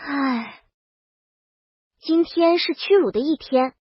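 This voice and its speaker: High-pitched woman narrating, dry lo-fi sound. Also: slow pace, no echo. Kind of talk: scripted first-person comic story narration, as a princess.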